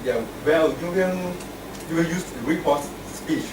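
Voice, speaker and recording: A man's voice: a little high, a little slow. A man acting as grammarian, giving a short grammar lesson with worked examples in a club meeting room. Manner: plain, repetitive teaching with worked examples. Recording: echoey room, distant mic, air conditioning hum.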